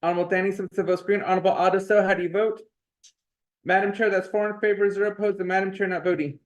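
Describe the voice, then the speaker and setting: A male committee clerk reads votes and legislation in a fast, high, level voice, rattling off formal phrases one after another. The meeting audio sounds dull and lo-fi. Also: steady tone. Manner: brisk, formulaic reading of votes and legislation. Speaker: committee clerk reading roll call. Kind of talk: formal procedural reading.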